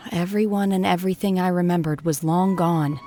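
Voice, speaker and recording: An actress voicing a reserved, melancholy young woman in a video game, measured and subdued. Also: on the low side, steady tone, mellow, close to an everyday voice.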